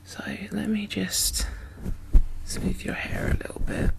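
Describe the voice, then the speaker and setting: A woman doing a soft-spoken, whispered ASMR caregiver roleplay in a gentle, murmured, reassuring way. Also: takes it slowly.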